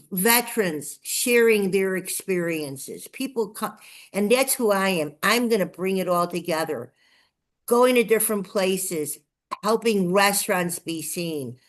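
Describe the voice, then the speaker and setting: An older woman with a Chicago accent telling her story as an interview guest, effusive and emphatic, repeating phrases for effect. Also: mid-range voice. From Chicago, United States. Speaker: guest, nonprofit TV promoter. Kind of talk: enthusiastic personal storytelling in an interview.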